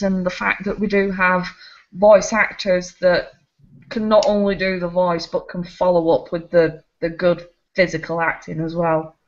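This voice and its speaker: A woman co-hosting a casual gaming podcast, chatty and quick to laugh. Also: medium pitch. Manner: chatty, laughs often, restarts sentences. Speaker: podcast co-host. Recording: slightly muffled home recording.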